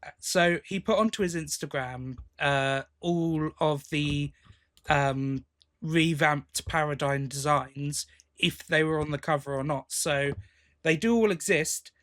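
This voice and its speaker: Higher-pitched man chatting casually. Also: slow pace, a bit bright. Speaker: podcast co-host. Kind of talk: casual chat about sci-fi audio drama news.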